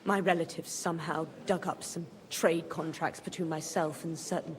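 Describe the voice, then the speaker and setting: Shrewd, low-voiced noblewoman, played by an actress. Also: steady tone, moderate pace, lightly acted. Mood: firm, cautious. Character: shrewd, wry widowed medieval noblewoman. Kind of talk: video game dialogue acting.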